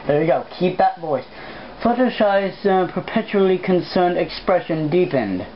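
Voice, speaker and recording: Female amateur narrator, deep for a woman, casual and blunt, recorded muffled and noisy at home. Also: expressive, normal speed. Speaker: reader. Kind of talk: amateur fan fiction narration with casual asides.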